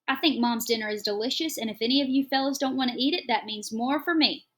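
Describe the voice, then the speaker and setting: Audiobook: weary, even-tempered dad, read by a woman, calm, firm, soothing. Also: a little high, fast, voice pushed up. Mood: jovial. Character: tired, steady foster father, man. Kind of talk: audiobook character voice.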